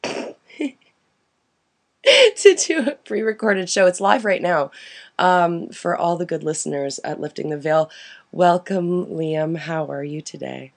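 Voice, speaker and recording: Gravelly-voiced woman hosting a radio chat. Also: unhurried, pauses often, a little mellow. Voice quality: deep smoky voice. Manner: bantering, laughing easily. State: deep raspy voice after quitting smoking. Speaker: radio show host. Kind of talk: casual live radio chat.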